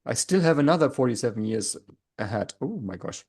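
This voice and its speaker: A man's voice: medium pitch, sing-song, fairly quick, a little mellow, slightly rough. A man in his forties with a German accent, co-hosting a casual podcast chat in a joking, self-mocking way, stopping and restarting often. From Germany, grew up in East Berlin. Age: 40s. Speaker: podcast co-host, language teacher.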